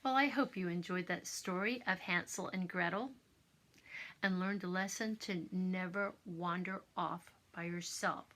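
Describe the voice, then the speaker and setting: A woman narrating a children's bedtime story in a theatrical, expressive manner, as a storyteller. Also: lowish voice, a little slow. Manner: theatrical, expressive, with exclamations and asides.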